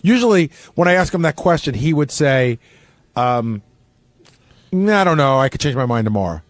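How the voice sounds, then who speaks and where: A man's voice: mid-range voice, big pitch swings, normal speed, no echo. A man who works as a radio producer, chatting off the cuff and often rephrasing himself mid-sentence. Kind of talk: casual unscripted discussion. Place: radio studio. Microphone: close studio microphone.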